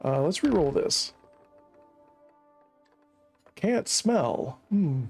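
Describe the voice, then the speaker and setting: A man's voice: a little high, big pitch swings, very slow, creaky, a little mellow, breathy edge, soft background music. A man from Central Virginia chatting in a rambling, joking way while streaming a colony game. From Central Virginia, USA. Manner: rambling, chatty, laughs at his own jokes. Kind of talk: casual gameplay commentary.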